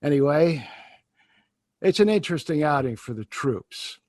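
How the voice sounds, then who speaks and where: A brisk army captain reporting from the field in a radio drama. His voice is somewhat high for a man and sounds dry and close, with no room around it. He talks in an assured, officer-like manner. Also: very animated, darkish tone, no room sound. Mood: confident. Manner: brisk, assured, then hesitant. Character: confident male army signal captain reporting.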